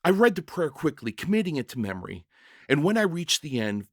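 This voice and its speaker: Man acting an earnest, bewildered jailer, wildly expressive. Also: fairly high pitch, fairly quick, dry recording, studio quality, pitched above the performer's own voice. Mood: reflective, moved. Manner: stammering, blurting, dramatic. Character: young-hearted jailer narrator, bewildered, earnest, emotional father. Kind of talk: first-person dramatic story reading.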